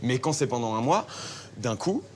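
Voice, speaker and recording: A man's voice: medium pitch, big pitch swings, a little mellow. A man with a mid-range voice joins a casual group debate. He stammers and restarts, stacking up hesitant buts. Faint room noise sits behind him. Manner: halting, stammers with repeated buts. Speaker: group discussion participant.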